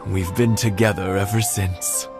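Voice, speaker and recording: Kindly deep-voiced elderly husband, music behind. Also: male voice, steady tone. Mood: fond nostalgia. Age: older. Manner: measured, reminiscing, with hesitant musing pauses. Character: kindly elderly husband and father, nostalgic, gently teasing. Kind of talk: video game voice acting. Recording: loud background music.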